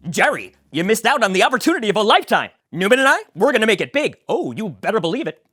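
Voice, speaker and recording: A woman voicing an eccentric, excitable male neighbor who pitches schemes at speed. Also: husky. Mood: excited. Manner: rapid, excitable salesman pitch. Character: fast-talking eccentric man, scheming neighbor.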